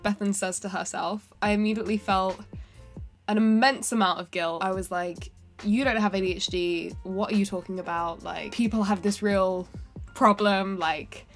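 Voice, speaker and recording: A young woman with a cold talks into the camera in her bedroom, her voice nasal and stuffy. She rambles with lots of ums and self-corrections. Soft music plays faintly behind her. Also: medium pitch, steady tone, moderate pace. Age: 20s. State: has a cold, sounds nasal. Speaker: singer-songwriter and vlogger. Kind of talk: casual talking-to-camera vlog. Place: bedroom indoors.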